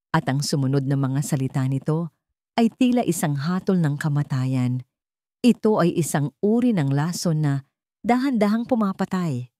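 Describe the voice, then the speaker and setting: Low-pitched woman narrating a dramatic story. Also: darkish tone, dry recording, studio quality.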